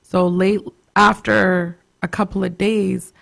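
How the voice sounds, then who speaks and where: A woman hosting a casual radio chat, her voice raspy and hoarse after a cough, talking in a halting way and laughing often. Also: medium pitch, little pitch movement, fairly bright tone. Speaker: radio show host, spiritual doula.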